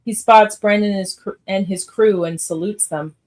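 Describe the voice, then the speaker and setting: A woman's voice: mid-range voice, steady tone, moderate pace, a little mellow. A woman narrating stage directions in a scripted radio-theatre read.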